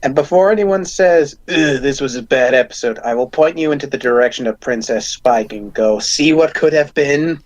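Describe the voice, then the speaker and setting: A man's voice: mid-range voice, normal speed, hardly any pauses. A man in a casual group chat, heard over muffled voice chat audio.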